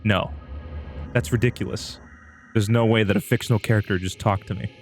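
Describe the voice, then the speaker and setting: A man's voice: on the low side, steady tone, a little fast, faint music behind. A man livestreaming a dramatic reading of an amateur horror story. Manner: breaks off into amused asides.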